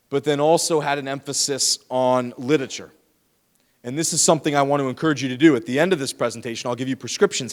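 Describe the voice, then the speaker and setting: A man giving a lively, teasing lecture to students in a large hall, speaking into a handheld microphone. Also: medium pitch. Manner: lively, teasing, talks straight to the audience. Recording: long hall reverb.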